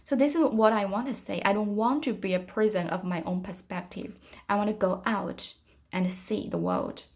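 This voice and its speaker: A middle-aged woman from China with a Chinese accent, giving a reflective, casual tour of her art studio with frequent pauses and fillers. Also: medium pitch, sing-song, darkish tone. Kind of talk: casual guided tour narration.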